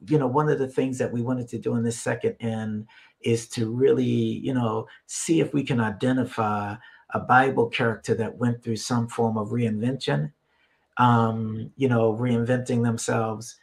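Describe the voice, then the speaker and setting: A man's voice: medium pitch, vocal fry. A man hosting a religious talk show in a conversational way, feeling his way through meandering sentences. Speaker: host of a ministry live stream.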